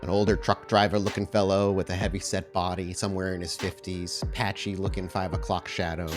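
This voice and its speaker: A man runs a tabletop game as storyteller, describing scenes in measured, improvised narration. His voice sits slightly low, with a faint music bed underneath. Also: flat delivery. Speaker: game master.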